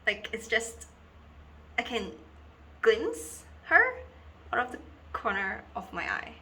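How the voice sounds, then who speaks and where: A woman performing a dramatic monologue as a lonely, wistful girl who confides haltingly and theatrically in an invisible friend. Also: a little high, huge pitch swings, pushed and bright, a little hiss or hum, full character voice. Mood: wistful, confiding. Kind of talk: dramatic monologue for an acting reel.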